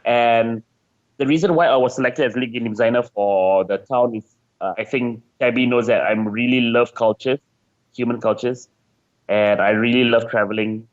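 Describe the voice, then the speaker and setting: A man's voice: medium pitch, steady tone, normal speed, dull audio. A man being interviewed as a game designer, talking casually and chattily, often repeating words as he searches for phrasing.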